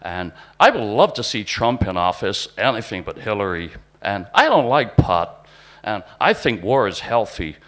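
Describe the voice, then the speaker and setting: A man performs a middle-aged American bar-room ranter in a spoken-word piece. He is loud and opinionated, raised in pitch, and rambles through blunt declarations. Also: very animated, normal speed. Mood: bombastic.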